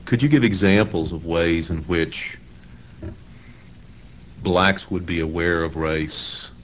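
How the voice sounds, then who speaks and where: Deep-voiced man hosting a radio interview. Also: a bit bright, a little hiss or hum. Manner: formal, halting with uhs. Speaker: talk show host.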